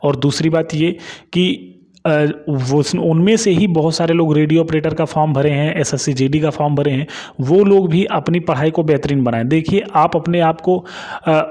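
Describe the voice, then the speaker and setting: Higher-voiced man giving exam advice. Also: moderate pace, a little mellow. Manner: repetitive, reassuring tag phrases, emphatic urging. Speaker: exam news YouTuber and study adviser. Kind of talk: informal exam update with advice to students.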